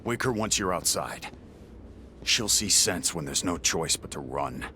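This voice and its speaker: An actor playing a gruff, brooding warrior leader in a game, with a curt, hard-edged, commanding way of talking. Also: male voice, sits low, lively intonation, a little slow, a little hiss or hum, exaggerated voice. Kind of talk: dramatic game acting. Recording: loud dramatic music behind.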